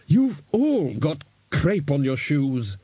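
A man's voice: a little high, sing-song, slow pace, warm tone, breathy edge, dry recording, voice pushed up, exaggerated voice. A man voicing a blunt, exasperated, thick-skinned old wizard archchancellor in an audiobook. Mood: growling suspicion. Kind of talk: audiobook character voice.

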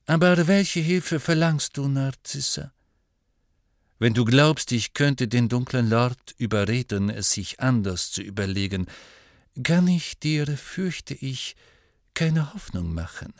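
A man voicing a guarded, commanding wizard in an audiobook, with a measured, aloof and emphatic manner. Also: medium pitch, no echo, studio quality. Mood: cold, firm.

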